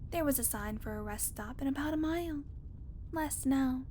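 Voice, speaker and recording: A woman's voice: high-pitched, normal speed, warm tone, a little hiss or hum, close to an everyday voice. A woman playing a flirty, sarcastic female vampire passenger in an audio roleplay, with a teasing, wry manner. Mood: casual, boastful. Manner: teasing, wry, casual swearing.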